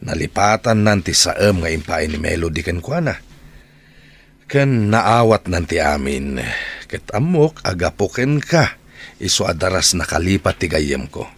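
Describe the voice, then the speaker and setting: An actor with an Ilocano accent playing a loyal, protective friend, emphatic and exhorting, in a radio drama. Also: male voice, sits low, animated, a little slow, slightly rough, exaggerated voice. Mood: protective, insistent. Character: loyal, protective male friend, urging and scolding.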